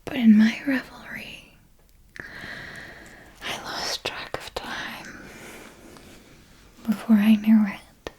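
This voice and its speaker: A whispering female vampire mentor, played by a woman for an ASMR roleplay. She is ancient, tender and darkly wise, speaking very intimately. Her delivery is slow and deliberate, slightly high in pitch, with pauses between phrases. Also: fairly even intonation, darkish tone. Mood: wistful, sensual. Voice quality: breathy, unvoiced whisper.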